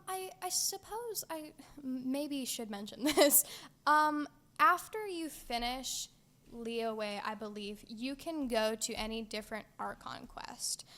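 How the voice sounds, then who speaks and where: A woman's voice: on the high side, fairly bright tone. A teenage girl co-hosting a podcast in casual chat, laughing often and hesitating with frequent ums. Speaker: podcast co-host.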